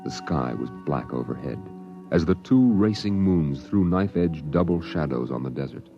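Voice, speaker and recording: A man narrating a 1950s radio drama in a grave, measured storytelling voice. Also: a bass voice, animated. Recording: dull old radio recording with music.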